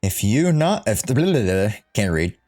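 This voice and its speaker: A man's voice: fairly low pitch, sing-song, normal speed, a little mellow. A man giving casual, musing reaction commentary as a game streamer, in informal speech. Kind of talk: casual reaction commentary, reading subtitles along.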